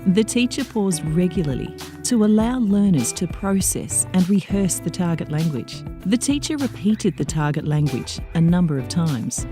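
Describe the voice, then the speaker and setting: A woman giving scripted voice-over narration for a teacher training video, measured and explanatory. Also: fairly low pitch, animated. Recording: voice-over with music behind.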